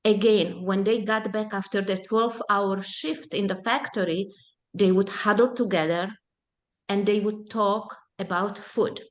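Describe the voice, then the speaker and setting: A woman in her seventies, Hungarian by first language, speaks at an unhurried pace. She recounts a personal testimony in a measured, deliberate way. Her voice sits at a middle pitch. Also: fairly even intonation, muffled recording. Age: 70s. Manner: slow, deliberate storytelling. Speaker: presenter at a support group.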